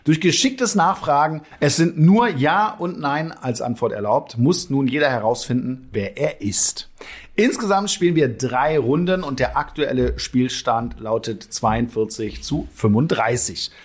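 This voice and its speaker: Husky-voiced man explaining a guessing game. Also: mid-range voice, a little slow. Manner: relaxed, joking, laughs a lot. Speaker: game host explaining rules. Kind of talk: casual game chat.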